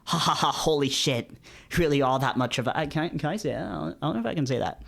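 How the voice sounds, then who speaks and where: A man's voice: on the high side, lively intonation, fast talker, creaky, a bit bright. A man streaming a read-along with casual, rambling, jokey commentary, often repeating himself for emphasis. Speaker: streamer reading visual novels aloud. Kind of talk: casual live commentary mixed with dramatic read-aloud.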